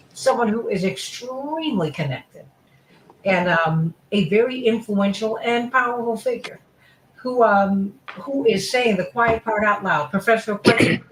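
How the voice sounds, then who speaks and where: A woman's voice: medium pitch, echoey room, slight background noise, poor audio. A woman hosting a radio talk show, introducing guests effusively with frequent fillers.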